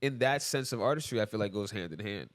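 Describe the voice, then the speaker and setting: A young man co-hosts a podcast and asks his guest long, wandering questions in a relaxed, easygoing tone. His voice sits fairly low. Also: quick pace. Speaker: podcast co-host. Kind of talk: casual podcast interview.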